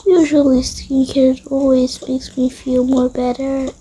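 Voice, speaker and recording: A woman's voice: high-pitched, little pitch movement, measured pace, warm tone, a little hiss or hum, rough recording. A female voice improvising a roleplay with toy game characters, slangy and drawling, with sighs. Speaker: roleplay video creator voicing toy characters.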